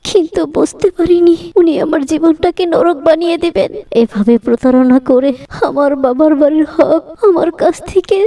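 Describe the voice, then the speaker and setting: A woman's voice: high-pitched, moderate pace, dark tone, far from the mic. A woman voicing a humble, pious middle-aged village mother-in-law in a cartoon, with a plaintive, pleading manner. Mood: sorrowful recounting. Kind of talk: cartoon voice acting.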